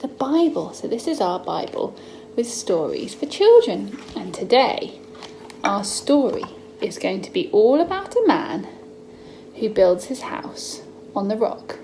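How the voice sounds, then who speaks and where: A young adult woman reading a story to small children in an expressive, drawn-out way, as host of a children's Bible story time. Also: medium pitch, vocal fry, low-quality recording. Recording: noticeable background noise, homemade recording.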